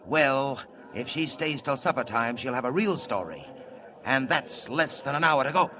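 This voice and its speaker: An actor playing a cold, scheming gang boss in prison, clipped and menacing, in 1940s radio style. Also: male voice, mid-range voice, lively intonation, normal speed, crowd noise, close to an everyday voice. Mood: conspiratorial.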